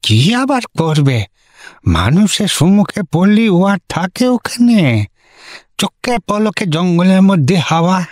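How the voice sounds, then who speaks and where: Slow, lilting rustic Bengali villager. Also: male voice, high-pitched, very animated, dry recording, studio quality, heavily acted. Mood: matter-of-fact. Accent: rural West Bengal Bengali. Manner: roundabout, hesitant, drawn-out rustic talk. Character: simple rambling Bengali village man, evasive and deferential. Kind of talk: comic radio drama acting. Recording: music behind the voice.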